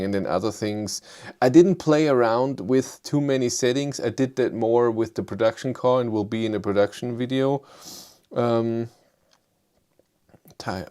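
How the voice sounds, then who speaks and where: Hesitant man with a German accent. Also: dark tone. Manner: hesitant, low-key, many fillers, self-deprecating. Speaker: livestream host. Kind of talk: casual live chat answering viewers.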